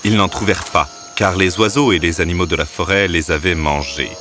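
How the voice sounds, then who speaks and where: A man's voice: sits low, fairly even intonation, brisk, very noisy. A man narrating a scripted fairy tale as a storyteller. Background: background music.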